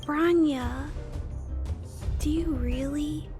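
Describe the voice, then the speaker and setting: A woman voicing a gentle, earnest young girl in a video game, soft-spoken and hesitant, timid yet resolute. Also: high-pitched, drawn-out words, music in the background. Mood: wary, doubtful.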